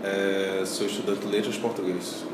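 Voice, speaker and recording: A man's voice: low voice, level tone, normal speed, very noisy. A man in his twenties, a student, answering an interview in a hesitant, self-correcting way. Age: 20s. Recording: roomy echo, music behind.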